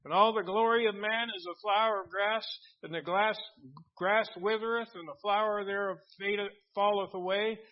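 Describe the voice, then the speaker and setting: Casting: man in his seventies, high-pitched earnest preacher, reads scripture, dull lo-fi recording. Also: steady tone, normal speed. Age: 70s. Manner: earnest, reads King James verses aloud, many restarts. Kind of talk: sermon with scripture reading.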